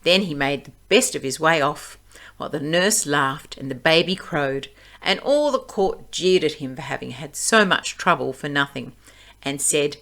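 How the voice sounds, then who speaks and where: Lively, lower-voiced woman storyteller. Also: normal speed, gravelly. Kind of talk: expressive read-aloud fairy tale narration.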